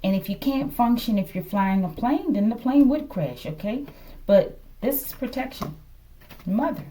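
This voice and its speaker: A woman with an American accent and a soft-edged, mellow voice gives an off-the-cuff card reading. She muses aloud and keeps checking in with her viewers. The microphone sits a little away from her. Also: fairly high pitch, animated, moderate pace, recorded from a distance. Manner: musing, often checks in with okay. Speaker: tarot reader. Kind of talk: casual improvised card reading addressed to viewers.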